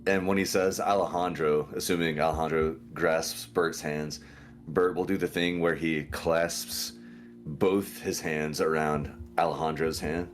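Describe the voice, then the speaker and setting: A man's voice: very deep voice, steady tone, a little slow, faint music behind. A man chatting casually as a player in a tabletop role-playing game.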